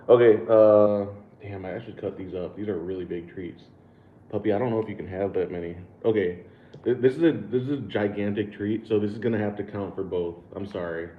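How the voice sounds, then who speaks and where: A laid-back American man chats through a livestream, laughing easily and tripping over his own repeated words. His voice sits fairly low and comes through a thin, phone-like line from an echoey room with a faint hiss behind. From United States. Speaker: livestream host. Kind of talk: casual livestream chat reading super chats.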